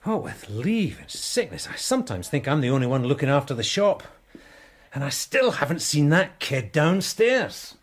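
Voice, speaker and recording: An actor playing an irritable, overworked police sergeant who barks impatient, blunt questions in a radio drama. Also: male voice, fairly high pitch, sing-song, moderate pace. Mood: harried, irritated. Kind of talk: naturalistic radio drama acting.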